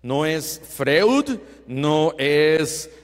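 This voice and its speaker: Pastor guy preaching slow, kinda high voice, big echoey church. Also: sing-song. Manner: earnest preaching, rising emphatic bursts and quiet asides. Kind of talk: sermon. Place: church sanctuary. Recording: long hall reverb.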